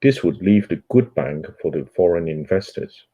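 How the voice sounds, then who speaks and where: A man whose first language is Cantonese, hosting a book club and reading a nonfiction book aloud in a measured way, pausing on fillers between phrases. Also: deep voice, animated, warm tone, slightly rough, dull audio.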